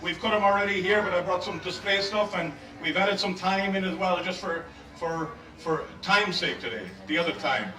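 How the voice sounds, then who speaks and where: A middle-aged Irish man, a native Gaelic speaker, demonstrating a dish live as a chef and pub owner, talking in a relaxed, rambling way with many fillers. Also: sits high, moderate pace. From Ireland. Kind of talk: live cooking demo at a festival. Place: outdoor festival stage. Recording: echoey outdoor stage mic from far off, crowd noise.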